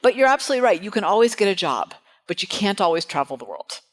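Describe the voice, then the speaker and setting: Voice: mid-range voice, sing-song, fast talker, fairly bright tone. A podcast host interviewing a guest, upbeat and enthusiastic, often laughing. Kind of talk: casual interview chat.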